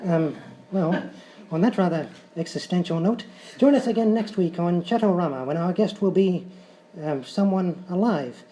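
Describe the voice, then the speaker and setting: A man's voice: sits high, moderate pace, mellow, lightly acted, poor audio. Man acting a flustered, polite talk-show host. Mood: bemused. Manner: hesitant, formal, bemused. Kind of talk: live radio-style sketch comedy acting. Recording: live stage, faint noise.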